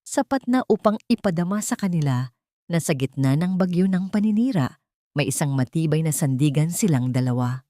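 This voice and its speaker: Female narrator, lowish register. Solemn, emotive audio drama storytelling, very dry sound. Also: darkish tone, dry recording.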